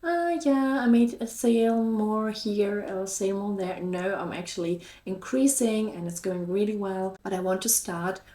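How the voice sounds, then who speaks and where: A woman's voice: medium pitch, fairly even intonation, moderate pace, few pauses, warm tone. A woman with a German accent talking casually to her viewers as a vlog host, in an upbeat, encouraging and chatty way. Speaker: YouTube host and online shop seller. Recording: echoey room.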